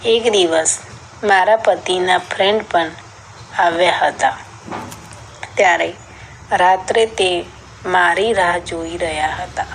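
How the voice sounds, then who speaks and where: A woman's voice: fairly low pitch, slow pace, pauses often, a little hiss or hum, low-quality recording. A woman reading a scripted first-person story as a narrator.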